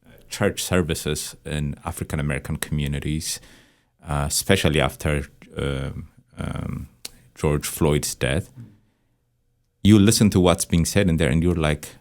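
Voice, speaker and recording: A man's voice: very deep voice, measured pace, a little mellow. A man, a counselor reflecting aloud in conversation in a discursive way. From Ethiopia. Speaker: church counseling director and therapist, panel guest.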